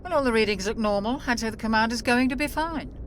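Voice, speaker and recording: An actress playing a calm, caring, mature ship's doctor in a video game, composed and clinical in manner. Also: female voice, a little high, fast talker, close to an everyday voice. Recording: clear engine-like ship noise behind.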